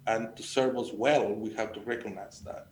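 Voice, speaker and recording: A middle-aged man from Mexico with a Mexican accent, speaking earnestly and haltingly as he tells personal stories as a panel guest. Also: steady tone. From Sonora, Mexico. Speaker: panel guest, agricultural extension educator. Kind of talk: conversational personal storytelling. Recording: echoey room, faint noise and rumble.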